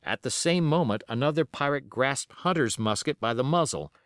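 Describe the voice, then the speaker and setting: A man narrating an adventure novel as an audiobook, in an expressive, measured, dramatic storytelling style. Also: medium pitch, no echo, clean studio sound.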